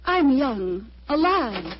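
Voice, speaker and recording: High-voiced, refined young society lady. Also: very animated, takes it slowly, noisy background, exaggerated voice. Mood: indignant. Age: young adult. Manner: gracious, refined, theatrical. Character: poised, candid young society widow, charming but imperious. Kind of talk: 1940s radio acting. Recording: muffled, lo-fi old radio recording.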